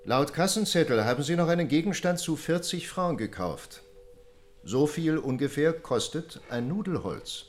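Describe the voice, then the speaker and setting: An actor playing a stern, probing court prosecutor who lays out the case deliberately and insistently in a radio drama. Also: male voice, on the high side, expressive, natural voice.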